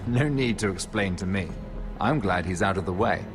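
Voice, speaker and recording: An actor playing a scheming, wealthy merchant lord in a story game, with silky, mocking, falsely friendly speech. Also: male voice, sits low, expressive, unhurried, music in the background. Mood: smug. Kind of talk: video game voice acting.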